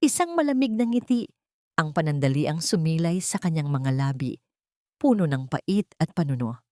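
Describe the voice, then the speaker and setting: A woman with a Filipino accent reads a scripted story in a dramatic narrating style. Her voice sits on the low side. The recording is dry and close, with very little room sound. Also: big pitch swings, moderate pace, a little mellow, no echo.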